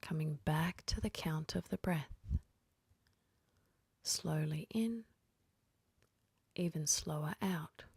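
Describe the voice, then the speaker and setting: Slow, breathy woman guiding sleep meditation. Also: deep voice, no room sound. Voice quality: breathy, whispery, half-voiced. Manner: gentle, unhurried, with long pauses between phrases. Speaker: guided meditation instructor. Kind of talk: guided sleep meditation, soothing instruction.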